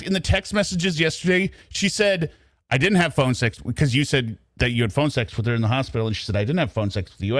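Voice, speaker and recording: A man's voice: mid-range voice, a bit bright, no echo, clean studio sound. A man giving casual reaction commentary in an exasperated, mocking way, often paraphrasing other people's words. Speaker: true crime commentator. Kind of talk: casual reaction commentary over a played phone call.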